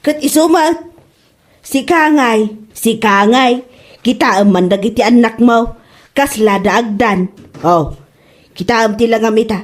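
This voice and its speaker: A woman acts an older Ilocano mother in a comedy drama. Her voice is on the high side, and she nags and disapproves with plenty of drama. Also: a little slow, long pauses, natural voice. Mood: disapproving. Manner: nagging, dramatic.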